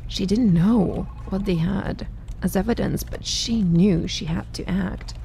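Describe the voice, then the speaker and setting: A woman's voice: medium pitch, unhurried, vocal fry, mellow, a little hiss or hum. A woman reading scripted narration for an audio drama.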